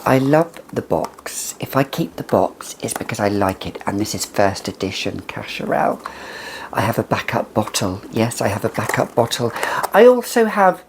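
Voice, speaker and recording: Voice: mid-range voice, very animated, moderate pace, noisy background. A perfume reviewer chatting casually and emphatically to camera, with a whimsical, conversational manner.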